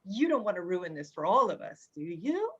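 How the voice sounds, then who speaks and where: Snobbish, pushy female neighbor, played by an actress, syrupy with sweeping pitch; slightly muffled recording. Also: very animated, normal speed, husky. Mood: coaxing. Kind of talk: drama script table read. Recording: dull lo-fi microphone sound.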